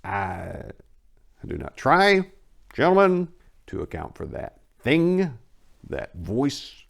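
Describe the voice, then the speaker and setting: A man playing a haunted survivor recounting a horror, theatrical and halting, swelling from hushed to anguished. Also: medium pitch, huge pitch swings, creaky, pitched above the performer's own voice, exaggerated voice. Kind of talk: dramatic horror story reading.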